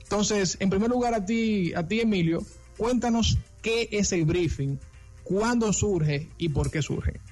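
High-voiced Dominican man hosting. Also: measured pace, darkish tone, soft background music. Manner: chatty, many restarts, introduces guests at length. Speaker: co-host. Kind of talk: radio interview. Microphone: remote call.

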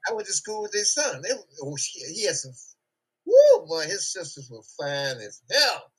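A mature man from Chicago talks casually with a high voice for a man. His pitch swings widely and animatedly, and there is a husky roughness to it. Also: big pitch swings, moderate pace, bright, forceful tone, distant mic, rough recording. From Chicago, United States. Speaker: podcast discussion participant. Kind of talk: casual chat, teasing and reminiscing.

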